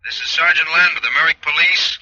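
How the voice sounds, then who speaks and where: An actor playing a businesslike police sergeant over a telephone filter, brisk and official, in 1940s radio style. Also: male voice, a little high, bright, forceful tone, lo-fi sound. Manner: brisk, official, matter-of-fact. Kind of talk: 1940s radio drama acting. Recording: thin phone filter, vintage radio.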